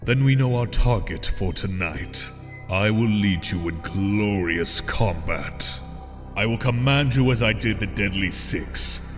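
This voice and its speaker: Imperious cartoon beast warlord acted by a man, low-voiced and commanding, long reverb with faint music underneath. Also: lively intonation, unhurried. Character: fearsome commanding demon warlord, stern and imperious. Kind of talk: comic dub voice acting.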